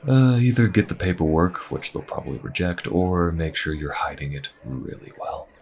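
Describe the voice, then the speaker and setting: A man playing a weary, easygoing inquisitor in a fantasy audio roleplay, chatting in a wry, conversational way with musing pauses. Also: animated. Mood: wry, reminiscing. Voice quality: very deep, gravelly. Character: weary, easygoing adult male Inquisition agent and adventurer. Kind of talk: fantasy audio roleplay, in-character small talk to the listener.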